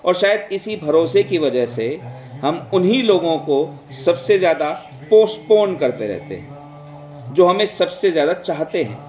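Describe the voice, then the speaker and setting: A man's voice: fairly high pitch, sing-song, a little slow, darkish tone. A man narrating in a conversational, reflective way, speaking directly to listeners and mixing in English words. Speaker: vlog host and storyteller. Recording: roomy, echoing sound.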